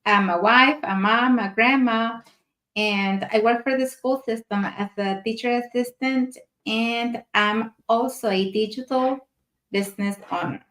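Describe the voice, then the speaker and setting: A woman's voice: a little high, fairly even intonation, measured pace, fairly bright tone. A woman from Mexico, a grandmother, co-hosting an online live show and reading out announcements in a friendly way. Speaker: co-host.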